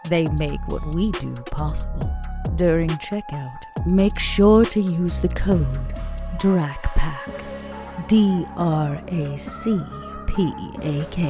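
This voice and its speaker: Casting: self-assured vampire woman played by an actress, slightly low, slow delivery, arch charm for tabletop gaming. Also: music in the background. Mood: playful, promotional. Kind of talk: tabletop roleplay and in-character sponsor read.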